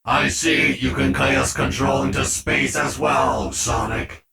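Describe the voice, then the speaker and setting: A man's voice: medium pitch, very animated, slow, vocal fry, noisy background, full character voice. A man voicing a ruthless, hulking killer robot in a fan audio drama, stiff, declarative and menacing. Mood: defiant. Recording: echoey, distant, loud music underneath.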